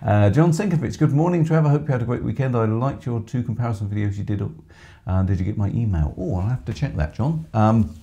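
A middle-aged man with a hoarse voice, chatting on a live stream from a small music shop studio, reading out viewer comments with lots of ums. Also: mid-range voice, fast, dark tone, muffled recording. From England. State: hoarse from screaming. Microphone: headset with microphone.

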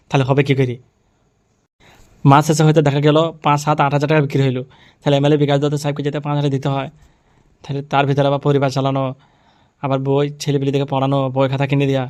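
A man's voice: medium pitch, darkish tone, lightly acted. A man voicing a worried, poor village tea-stall keeper who complains wearily in an amateur cartoon. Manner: complaining, repeats himself. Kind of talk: amateur funny cartoon dub. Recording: music behind the voice. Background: music.

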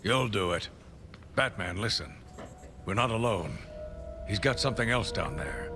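An older police commissioner in a video game, weary and worried. His earnest voice moves around in pitch over music. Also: animated, normal speed.

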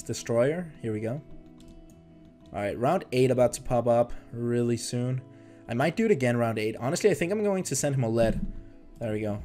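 A young man from Denmark, not a native English speaker, chatting excitedly through casual gameplay commentary. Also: mid-range voice. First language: Danish. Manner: excitable, chatty, trails off and restarts. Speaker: gaming YouTuber.

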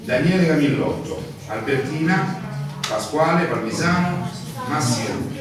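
A man reading out ballot names one by one at a group meeting. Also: unhurried. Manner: reads names in a steady list, lively pitch. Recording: echoey room, far from the mic, crowd behind.

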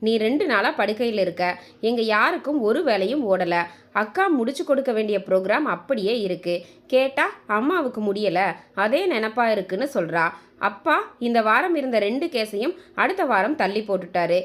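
A woman's voice: fairly high pitch, a bit bright. A woman voicing a teasing but devoted young adult son, earnest and coaxing, in a narrated family story.

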